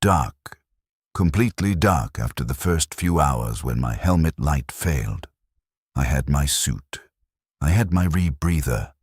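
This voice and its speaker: A man narrating as a stoic, detached soldier in a scripted sci-fi story, speaking in precise, deadpan, short clipped sentences. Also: very deep voice, measured pace, husky, no echo, clean studio sound, exaggerated voice. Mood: detached, grim. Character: stoic, detached male soldier recounting being buried alive.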